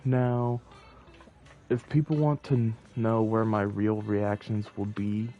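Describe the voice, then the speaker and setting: A man's voice: on the low side, little pitch movement, slow pace, highs cut off, slight background noise. A man chatting casually on a game livestream, rambling and thinking aloud, with game music behind him. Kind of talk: casual livestream chat while playing.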